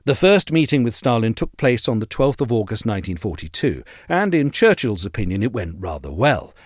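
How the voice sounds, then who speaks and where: A man reading a scripted history essay aloud as narrator, in a measured, articulate and formal delivery. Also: on the low side, sing-song, dry recording, studio quality.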